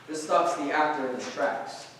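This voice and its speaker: A man's voice: on the high side, normal speed, a bit bright. A man reading scripted stage directions as a narrator. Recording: echoey noisy hall, distant microphone.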